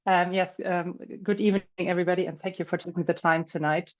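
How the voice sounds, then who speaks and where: A middle-aged woman with a German accent speaks in a low, unhurried register, chatting her way through a webinar presentation. She restarts sentences often and leans on "um" and "you know". The video-call audio comes through a little dull and muffled. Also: flat delivery. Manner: chatty, many fillers and restarts. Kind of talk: casual presentation.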